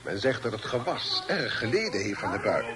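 An actor playing a dignified older father, a city magistrate in a Dutch radio play, measured and stately in manner. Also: medium pitch, wildly swooping pitch. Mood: measured, probing. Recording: noisy old radio drama with background clamour.

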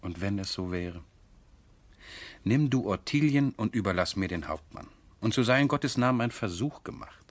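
An actor playing an eager, persuasive aristocratic husband in a radio drama, speaking in an earnest, coaxing, gentlemanly way. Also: male voice, on the low side, lively intonation, normal speed, dry recording. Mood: persistent, persuasive. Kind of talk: 1970s German radio drama acting.

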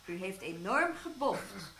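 An actress playing a hospital nurse in a radio play, soothing and firm. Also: female voice, mid-range voice, big pitch swings, takes it slowly, creaky, fairly bright tone, heavily acted. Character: calm, brisk hospital nurse, adult woman. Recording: echoey room with background noise.

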